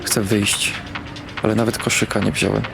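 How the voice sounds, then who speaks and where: Casting: brooding young man, audio drama lead, low deadpan delivery, vehicle noise behind. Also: normal speed, creaky. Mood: weary, struggling. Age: young adult. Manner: weary, terse, deadpan, swearing. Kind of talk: audio drama first-person narration and dialogue.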